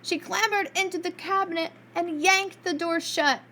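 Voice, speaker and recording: A woman reading a storybook aloud to preschool children in an expressive storyteller's style. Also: extremely high pitch, normal speed.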